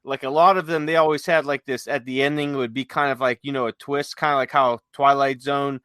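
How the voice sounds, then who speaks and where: A man with a bright, crisp-edged voice at a middle pitch, chatting as a podcast host. He rambles conversationally and haltingly as he recounts things. The sound is dry, with no room around him. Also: fairly even intonation, no echo. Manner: halting, conversational, retells stories with quoted dialogue. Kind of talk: casual chat, rambling storytelling.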